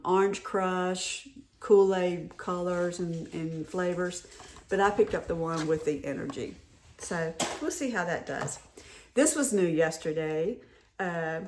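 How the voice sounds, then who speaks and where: A middle-aged woman, hoarse from a head cold, chatting casually and rambling with many restarts while showing off a shopping haul. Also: lowish voice, darkish tone. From North Carolina. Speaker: haul vlogger. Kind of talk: casual show-and-tell chat.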